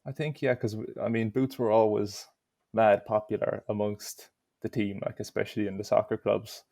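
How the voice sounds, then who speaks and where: A man's voice: fairly low pitch, level tone, normal speed, creaky, mellow, no room sound. A young Irish man answering interview questions in an easygoing way, with lots of "you know". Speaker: interview guest.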